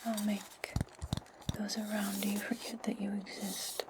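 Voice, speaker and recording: Woman acting as a controlling witch, breathy whisper, slow and even delivery, menacing, ASMR roleplay, noisy background. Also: mid-range voice, natural voice. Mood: calm, calculating. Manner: slow, deliberate, commanding. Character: menacing, controlling female witch commanding a servant.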